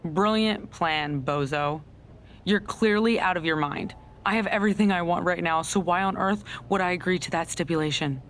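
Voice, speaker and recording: A woman's voice: lowish voice, normal speed, slight background noise, natural voice. A woman playing a smug, scheming female wrestling general manager, a villain in a video game cutscene, with a mocking, condescending delivery. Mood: sarcastic, dismissive.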